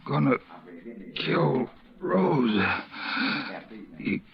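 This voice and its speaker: A man's voice: a little high, expressive, very slow, creaky, crowd noise, full character voice. An actor playing a stabbed, dying man who gasps out his words in broken whispers, in a 1950s radio drama. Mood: gasping. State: wounded, breathless. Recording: muffled vintage radio with hiss.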